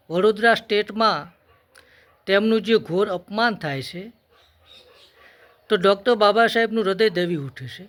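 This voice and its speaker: Bright, high-pitched man, emphatic orator. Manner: emphatic, deliberate, in short measured phrases. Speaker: speaker for a Buddhist vihara. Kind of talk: formal public address, historical oratory.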